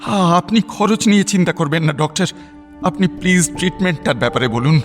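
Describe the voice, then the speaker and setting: Higher-voiced, anguished pleading husband. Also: male voice, expressive, soft background music. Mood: urgent, imploring. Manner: halting, repeats phrases imploringly. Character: distraught husband and bereaved father, anxious, pleading. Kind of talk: Bengali radio drama acting.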